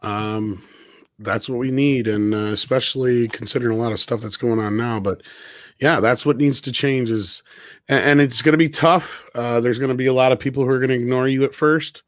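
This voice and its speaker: A man's voice: medium pitch, fairly even intonation, a little fast, dry recording, studio quality. A man hosting a casual live panel chat, talking off the cuff with viewers and co-hosts. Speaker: host.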